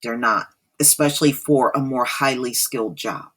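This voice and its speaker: A woman commentator with a husky voice, reading aloud and commenting emphatically as she addresses her listeners directly. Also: very deep voice, moderate pace, a bit bright. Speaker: online commentator and host.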